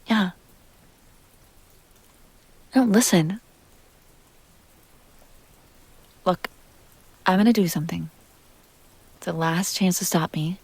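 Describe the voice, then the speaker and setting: A woman playing a jealous, possessive and fiercely protective young woman in an intimate roleplay audio drama, speaking close to the mic in emphatic, halting bursts. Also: fairly low pitch, darkish tone, husky, no echo, full character voice. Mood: tender, confessing. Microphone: close microphone, dry sound.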